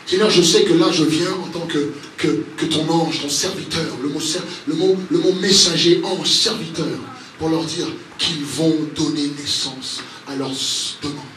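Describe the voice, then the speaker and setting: A man's voice: a little high, a little slow. A man preaching and praying as a pastor to a congregation, speaking spontaneously and emphatically with repeated exhorting phrases. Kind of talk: spontaneous charismatic sermon with prayer. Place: large echoey room, church service. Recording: roomy, distant mic, noisy background.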